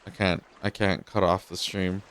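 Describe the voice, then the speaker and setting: A man's voice: deep voice, little pitch movement, moderate pace, fairly bright tone, slight background noise. A man giving casual live-stream commentary as he plays a game. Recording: faint game music behind. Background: game music.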